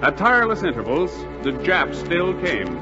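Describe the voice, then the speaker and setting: A man's voice: a little high, sing-song, moderate pace. An American man narrating a scripted 1940s radio drama as announcer, solemn and declamatory. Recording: muffled 1940s radio, loud music behind.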